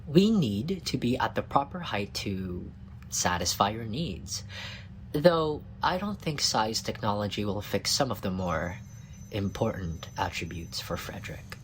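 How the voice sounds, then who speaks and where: A man's voice: fairly low pitch, very animated, measured pace, a little hiss or hum, rough recording. A man playing a tiny, cocky, bumbling scientist in a comedic audio roleplay, smug and flirtatious with a flustered stammer. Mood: cocky, teasing.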